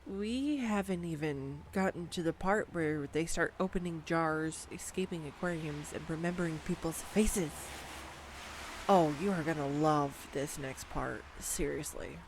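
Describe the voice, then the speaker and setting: Brief: woman, girlfriend roleplay, excitable and playful, unhurried delivery, faint room hiss behind. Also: sits low. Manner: bubbly, excitable and playful, gushing over facts. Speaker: audio roleplay voice actress. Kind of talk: scripted girlfriend roleplay monologue. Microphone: studio condenser mic.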